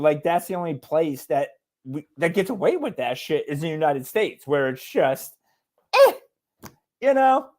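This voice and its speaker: A man co-hosting a casual podcast, talking bluntly and with heavy swearing as he rants. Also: a little high. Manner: blunt, profane, fired-up ranting. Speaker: podcast co-host.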